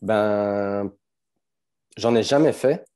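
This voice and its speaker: A man with a Belgian French accent, a strength coach talking as a podcast guest in a relaxed, hesitant way with many fillers. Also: on the low side, very slow, clear, resonant tone. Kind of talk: casual podcast conversation.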